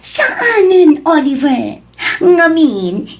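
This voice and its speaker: A woman playing a demanding, selfish mistress in an Ilocano radio drama, coaxing and haughty, quick to snap. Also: very high voice, very animated, heavily acted. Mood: defiant.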